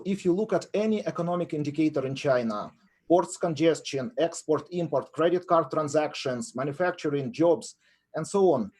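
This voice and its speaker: Husky Ukrainian-accented man, higher pitched. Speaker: assistant professor presenting a webinar. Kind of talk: academic webinar presentation.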